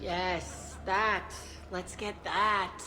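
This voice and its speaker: A woman's voice: medium pitch, steady tone, very slow, over music. An actress playing a brash, tough, foul-mouthed young woman in a video game, blunt and sardonic. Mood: eager, frank. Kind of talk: video game character acting.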